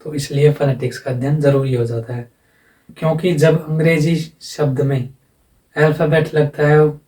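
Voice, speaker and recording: A man's voice: fairly high pitch, steady tone, moderate pace, mellow, recorded from a distance, low-quality recording. A man teaching a lesson in a casual explanatory voice-over. He explains plainly, repeats himself and asks rhetorical questions. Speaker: English teacher. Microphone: voice-over, face not shown.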